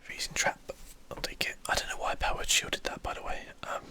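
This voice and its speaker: A game streamer gives ASMR commentary in a hushed, breathy whisper. The sound is very bright and airy, close to the mic. The delivery is casual and self-deprecating, with mild swearing. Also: fairly quick. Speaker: gaming channel host.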